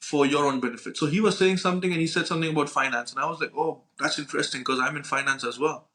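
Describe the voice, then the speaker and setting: Fast-talking young man telling stories. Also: a little high, steady tone, a bit bright. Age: young adult. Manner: informal, slangy, acts out dialogue, frequent "right?" tags. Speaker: podcast co-host. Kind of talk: casual anecdotal storytelling with profanity.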